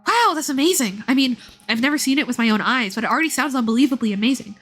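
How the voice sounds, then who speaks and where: A woman voicing a gentle, innocent boy in a video game, earnest and full of wonder. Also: sits high, animated, rapid-fire, soft background music. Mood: wonderstruck.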